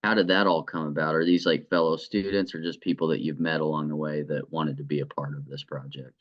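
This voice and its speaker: A man's voice: rumbling low, little pitch movement, a little fast, muffled recording. A man conducting a conversational interview in an encouraging manner.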